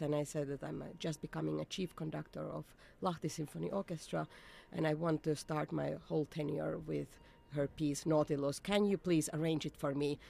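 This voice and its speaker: Woman conductor, bit of vocal fry, earnest, lots of restarts. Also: deep voice, darkish tone. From Ukraine. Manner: earnest, searching for words with many restarts. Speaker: chief conductor. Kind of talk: intermission interview.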